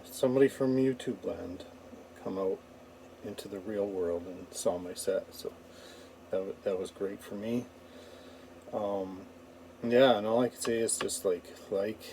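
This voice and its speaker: A Canadian man giving a casual, unscripted vlog recap in a halting, rambling way. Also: fairly low pitch, creaky, warm tone, slight background noise. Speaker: comedian vlogger.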